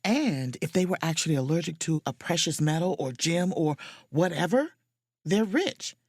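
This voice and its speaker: A woman playing a confident, sharp-witted, proud and flirtatious sleuth in an audio drama, with an emphatic, theatrical delivery. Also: low-pitched, expressive, normal speed, slightly rough, dry recording, clean studio sound, heavily acted. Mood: musing. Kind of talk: audio drama acting with first-person narration.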